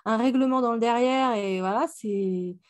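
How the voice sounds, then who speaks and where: A woman in relaxed, informal fan discussion as a book club co-host, speaking slangily. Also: fairly high pitch, moderate pace. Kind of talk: casual chat, fan discussion.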